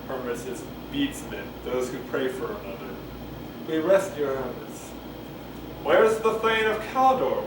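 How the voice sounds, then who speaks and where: A man doing a casual cold reading of a play, halting, repeating phrases and musing aloud between lines, recorded on a camcorder. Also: a little high. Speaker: amateur reader. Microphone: camcorder at a distance. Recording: roomy, distant camcorder mic, loud noise.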